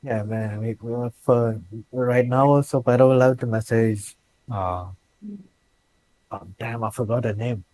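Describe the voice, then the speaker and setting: A man's voice: medium pitch, steady tone, measured pace, darkish tone, rough recording. A man playing a tabletop game, chatting casually in a hesitant way and repeating his words. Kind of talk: casual chat.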